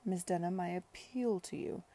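A woman's voice: on the low side, normal speed, lightly acted. A woman playing an eager young lord. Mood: pleading. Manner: pleading, warm-hearted.

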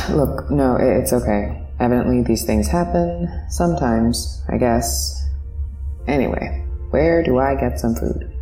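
A woman plays a politely unimpressed female passenger in a sci-fi audio drama. Her voice is low and mellow, with a dry, hesitant, understated delivery. It sounds roomy and slightly distant, with music behind. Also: a little slow. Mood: wry resignation.

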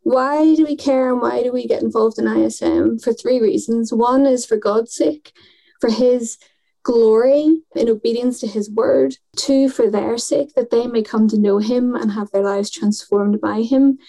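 Woman, thirties, mission worker, earnest, articulate, mellow warm tone, slightly high voice. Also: steady tone, a little slow, creaky, low-quality recording. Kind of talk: conversational podcast interview.

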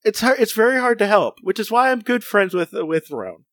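A man's voice: high voice, sing-song, normal speed, fairly bright tone, no echo, clean studio sound. A man co-hosting a podcast in casual, playful chat, rambling with many fillers. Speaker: podcast co-host.

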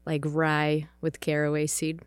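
A woman from the Czech Republic speaking English with a Czech accent, calmly sharing her background in a podcast chat. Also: low voice, measured pace, smooth, dry recording. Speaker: missionary, ministry partner. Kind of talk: casual podcast conversation.

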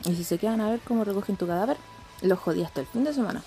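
A Chilean woman acting a brash, cynical teenage schoolgirl who gives slangy instructions in a radio play, with a creaky voice. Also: mid-range voice, very fast, warm tone, faint music behind, close to an everyday voice. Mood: cynical, bossy. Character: brash teenage schoolgirl coaching a dramatic suicide.

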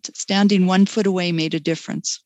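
A woman talking as a guest in a webinar conversation, relaxed and chatty, laughing often. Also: fairly low pitch, a little fast, no room sound. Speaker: guest speaker.

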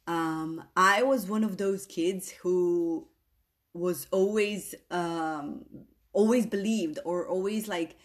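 Slow-talking woman host, English. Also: on the low side. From Slovenia. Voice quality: non-native English phrasing. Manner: earnest, rambling, tag questions. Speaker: podcast host and coach. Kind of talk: casual motivational monologue.

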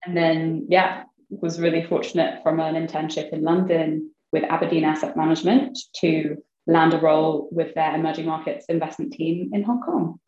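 A woman's voice: low-pitched, level tone, normal speed, darkish tone. A young woman chatting casually as an interview guest, filling her talk with "like" and "kind of.". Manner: chatty, many fillers like "like" and "kind of". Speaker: guest, venture investor. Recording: roomy, slightly dull sound.

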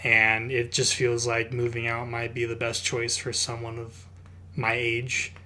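A man's voice: mid-range voice, monotone, a little slow, sharp tone, slight background noise. Easygoing man, casual podcast chat. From Utah. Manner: easygoing, lots of fillers. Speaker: podcast co-host.

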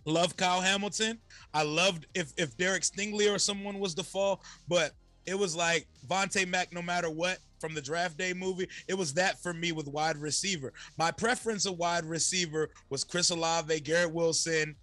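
Hoarse, high, energetic man chatting. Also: faint music behind. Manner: energetic, slangy, fast-flowing. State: slightly hoarse. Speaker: guest YouTube creator. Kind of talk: casual podcast chat.

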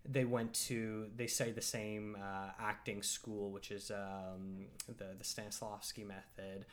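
A man hosting a casual film discussion, talking thoughtfully and hesitantly with many pauses. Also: lowish voice, level tone, a bit bright. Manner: thoughtful, hesitant, lots of fillers and restarts. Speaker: podcast host and film reviewer.

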